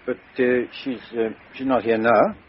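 An actor playing a blunt middle-aged police chief inspector who speaks briskly, in 1950s radio drama style. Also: male voice, medium pitch, flat delivery, a little hiss or hum, close to an everyday voice. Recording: dull old radio recording.

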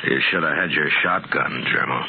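An actor playing a stern, grave Old West marshal in a 1950s radio drama. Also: male voice, extremely low pitch, normal speed, vocal fry. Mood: grim, calm. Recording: lo-fi old radio, noisy background.

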